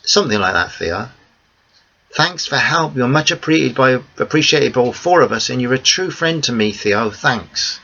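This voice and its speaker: A man's voice: mid-range voice, lively intonation, moderate pace, gravelly, bright, forceful tone, heavily acted. A man reading the part of a determined young woman, speaking firmly and earnestly to friends and family. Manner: firm, earnest pleading. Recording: dull lo-fi recording.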